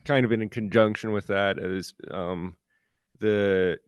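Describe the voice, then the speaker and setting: A man at a meeting asking a question, halting and searching for words. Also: on the low side, steady tone, clear, resonant tone, no echo. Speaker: meeting participant. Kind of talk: meeting discussion. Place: conference room.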